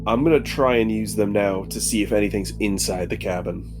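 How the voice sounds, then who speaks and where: A man's voice: lowish voice, brisk, music in the background. A man chatting casually as a player in a tabletop roleplaying game.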